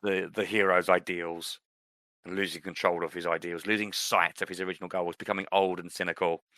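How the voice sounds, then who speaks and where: A man's voice: low-pitched, level tone, fairly quick, bright, forceful tone, dry recording. A man co-hosting a podcast, chatting casually about films and TV. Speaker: podcast co-host.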